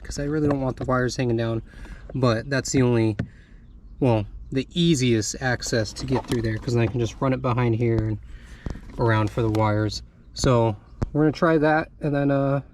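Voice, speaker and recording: A man's voice: mid-range voice, a little hiss or hum. Laid-back guy narrating a truck install. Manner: relaxed, thinking aloud with fillers. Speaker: vlogger installing a CB radio. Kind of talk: casual how-to vlog narration. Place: inside a pickup truck cab.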